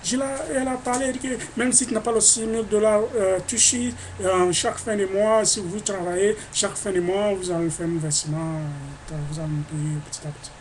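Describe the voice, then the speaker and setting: A man's voice: high-pitched, steady tone, brisk, few pauses, slight background noise. A man from Africa speaking into his webcam in an emphatic, indignant monologue, often repeating his phrases. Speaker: vlogger.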